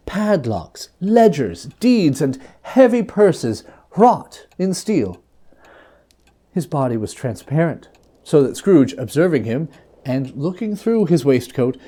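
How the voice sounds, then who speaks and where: A male storyteller with a mellow voice and a very lively melodic range. He reads classic ghostly fiction dramatically, leaning theatrically into his phrases. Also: a little high. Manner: theatrical, expressive, emphatic phrasing. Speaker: reader.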